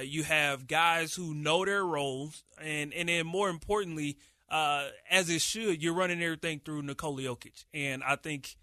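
Man, sports podcast co-host, bright forceful tone, fairly high voice, animated chat. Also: dry recording, clean studio sound. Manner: animated, hype-filled delivery with many restarts. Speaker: podcast co-host, radio producer.

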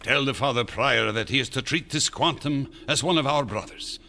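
An actor playing a brave, commanding Spanish priest in a radio drama, firm and dryly sarcastic. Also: male voice, medium pitch, normal speed, vocal fry, bright, forceful tone, slight background noise.

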